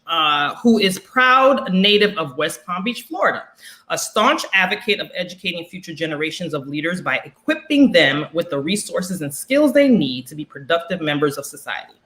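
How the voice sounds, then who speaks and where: A woman's voice: on the low side, sharp tone. A woman co-hosting a political talk show, chatty and friendly, reading a bio aloud with some stumbles. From Haiti. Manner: chatty, says "honey", reads bio haltingly. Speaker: co-host.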